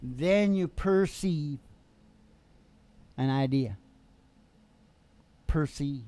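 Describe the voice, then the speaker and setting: A man's voice: a little high, drawn-out words, warm tone. An older man hosting in a casual, rambling motivational style with a folksy, teasing manner, speaking into a stage microphone. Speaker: podcast host and musician. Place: small curtained stage studio. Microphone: stand-mounted vocal microphone. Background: acoustic guitar.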